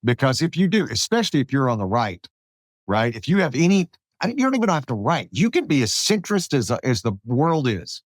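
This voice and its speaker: American male podcast host, mid-pitched, very animated delivery, emphatic, conversational, recorded dry. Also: a little fast, no room sound. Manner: animated, emphatic, acts out quotes. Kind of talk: conversational political talk.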